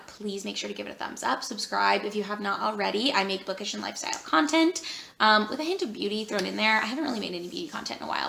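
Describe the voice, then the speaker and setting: A woman vlogger talking casually about books she read, rambling with lots of fillers and a voice that is going hoarse. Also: mid-range voice, bright, forceful tone, roomy sound, slight background noise. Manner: chatty, rambling with many fillers. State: losing her voice. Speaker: book vlogger. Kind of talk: casual vlog chat.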